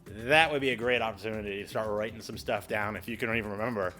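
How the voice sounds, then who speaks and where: A man hosting an explainer video in a casual, chatty style, animated and exclamatory, with playful exaggerated asides. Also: lowish voice, quick pace, soft background music. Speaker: video host giving public speaking tips.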